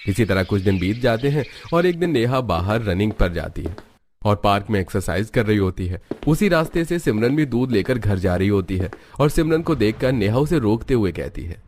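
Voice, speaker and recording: A man's voice: on the low side, very animated, fairly quick, darkish tone, a little hiss or hum. A man narrating a scripted story as a storyteller. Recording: music under the narration.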